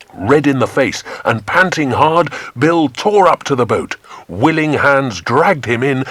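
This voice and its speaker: A man's voice: mid-range voice, fairly bright tone, no room sound. A British man narrating a dramatised children's adventure audiobook.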